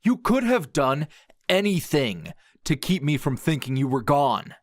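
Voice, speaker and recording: A man playing a grouchy, wounded anime hero in a dramatic ASMR roleplay, sardonic and halting in manner. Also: on the high side, big pitch swings, no echo, studio quality. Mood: bitter, sarcastic. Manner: sardonic, halting, emotionally raw.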